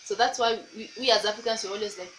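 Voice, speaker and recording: A woman's voice: mid-range voice, fast, a bit bright, slight background noise. A young Kenyan woman with a Luo accent, talking straight to camera in a casual vlog and teasing the viewer with repeated rhetorical questions. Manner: playful, repetitive rhetorical calls to the viewer. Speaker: vlogger. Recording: echoey room.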